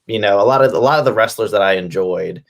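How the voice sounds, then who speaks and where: Man in his twenties, deep voice, vocal fry, casual interview guest, informal filler-heavy phrasing. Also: quick pace. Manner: conversational, peppers speech with "like" and "you know". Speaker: interview guest, wrestling fan and promoter. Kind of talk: casual chat.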